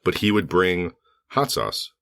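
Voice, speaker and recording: Slightly hoarse, very deep-voiced man. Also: expressive, measured pace, a bit bright. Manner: rambling, with frequent fillers and self-corrections. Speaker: podcast co-host. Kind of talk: casual podcast chat.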